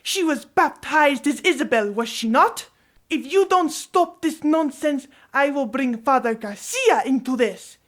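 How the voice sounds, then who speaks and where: A woman playing a sharp-tongued, theatrical grandmother in an audio drama. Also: high-pitched, animated, unhurried. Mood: indignant.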